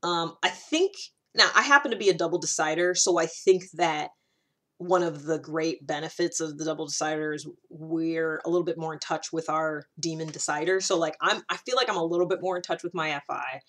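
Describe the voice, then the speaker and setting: A woman with a fairly low voice talks in a casual, explanatory monologue. She works through her ideas aloud in a conversational manner, putting emphasis on key words as she goes. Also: a little fast, fairly bright tone. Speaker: personality typing vlogger.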